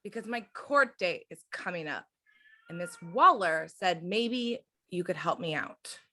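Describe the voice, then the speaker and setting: A woman's voice: on the low side, animated, a little slow, sharp tone, full character voice. A woman acting a sullen, defiant sixteen-year-old daughter who is confrontational and profane, in an amateur script read. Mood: subdued.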